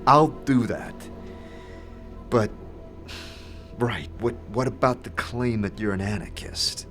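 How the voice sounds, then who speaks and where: A man's voice: fairly low pitch, takes it slowly, a bit bright, faint music behind. A man playing a blunt adventurer turned investigator in a fantasy audio drama, direct and firm in his questioning. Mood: probing.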